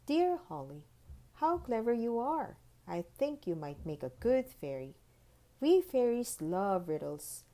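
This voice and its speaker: A woman's voice: a little high, sing-song, unhurried, warm tone, pitched above the performer's own voice. A woman reading the letters of a courteous, formal tooth fairy in a proper storybook manner. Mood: playful, praising.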